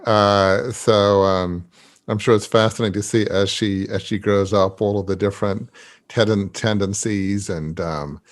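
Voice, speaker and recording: This man is a podcast host who interviews a guest with long, meandering questions. He has a somewhat low voice and a friendly way of talking, punctuated by short chuckles. His questions are full of restarts and small asides. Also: steady tone, a little slow.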